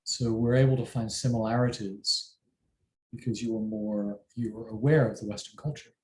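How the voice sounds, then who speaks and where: A middle-aged man with an English accent, a parent answering interview questions in a thoughtful, measured way. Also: lowish voice, fairly even intonation. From England. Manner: thoughtful, measured, self-deprecating. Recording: roomy with faint ambient noise.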